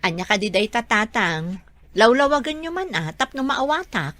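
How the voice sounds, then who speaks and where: A grown daughter in a radio drama, questioning and expressive. Her bright, mid-pitched voice is lively and dry in the recording. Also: normal speed, no room sound. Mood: puzzled. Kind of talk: Filipino radio drama acting.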